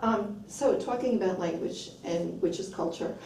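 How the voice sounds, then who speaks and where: A woman's voice: mid-range voice, moderate pace. A woman film critic interviewing a guest, curious and affirming, often saying wow and okay. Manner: curious, warm, frequent affirmations. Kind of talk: interview conversation. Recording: roomy, distant, faint noise.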